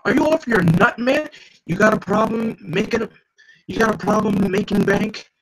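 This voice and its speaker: Jumpy young thug voiced by a man, shrill, loud, gravelly edge. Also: normal speed, poor audio. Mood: incredulous. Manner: agitated, loud, high-pitched outbursts. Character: jumpy, panicky young male street thug.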